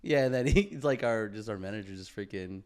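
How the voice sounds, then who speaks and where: A man's voice: on the low side, a little fast, darkish tone. A young man chatting casually on a podcast, rambling and swearing, with plenty of bro and dude. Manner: slangy, profane, rambling, says bro and dude often. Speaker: podcast co-host.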